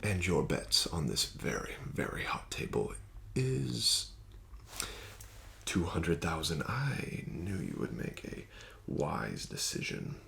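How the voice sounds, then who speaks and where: Male ASMR roleplay dealer: low, slow, soft and coaxing, lively rise and fall. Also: slight background noise. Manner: soft-spoken, often whispering; smooth, flattering, gently coaxing. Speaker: ASMR roleplay host playing a casino dealer.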